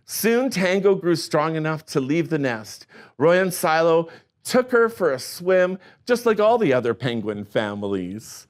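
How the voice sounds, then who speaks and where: A man reading a picture book aloud to children in an animated, expressive storytime manner with playful asides. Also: a little high, unhurried. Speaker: storytime reader.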